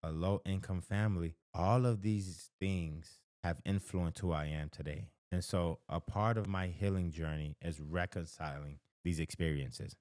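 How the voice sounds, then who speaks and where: A low-pitched American man speaks as a counselor in a close, dry recording. He lays out advice conversationally, stressing points by repeating them and tagging them with short check-ins to the listener. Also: darkish tone, no echo. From the American South. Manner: emphatic, repeats key phrases for stress; conversational, often checks in with "right?" and "okay?". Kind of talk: direct-to-camera advice talk.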